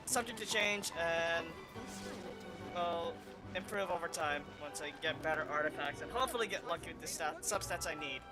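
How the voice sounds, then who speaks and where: A man giving casual, unscripted gameplay commentary as a streamer, halting as he thinks aloud. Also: a little high, poor audio. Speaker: gaming streamer. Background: game music.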